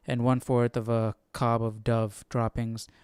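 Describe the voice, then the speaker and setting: A man reading aloud and commenting casually, thinking out loud in informal, slangy words. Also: mid-range voice, little pitch movement, measured pace, clean tone, no echo, clean studio sound. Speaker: host of a live Bible study stream.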